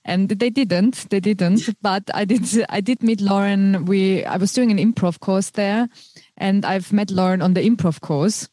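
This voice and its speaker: A woman's voice: medium pitch, a little slow, mellow, dry recording. Austrian woman telling stories, dry sound. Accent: Austrian German. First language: German. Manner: laughs through her storytelling. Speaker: comedian guest. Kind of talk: casual chat.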